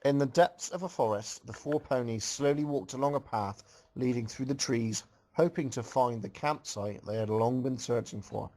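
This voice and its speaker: Mid-pitched man reading a story casually. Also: normal speed, dark tone, rough recording. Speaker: reader for a comedy fan fiction group. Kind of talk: amateur story read-aloud with casual asides.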